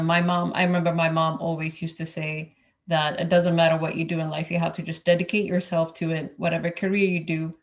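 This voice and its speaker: A woman from Ethiopia who works as an engineer, speaking as a roundtable panelist in a hesitant way, with many fillers and restarts. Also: deep voice. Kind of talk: casual roundtable talk.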